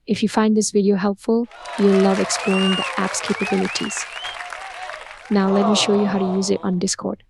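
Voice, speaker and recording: A woman narrating a scripted product promotion in a smooth, upbeat, evenly paced read. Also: medium pitch, little pitch movement, brisk, crowd noise, noisy background.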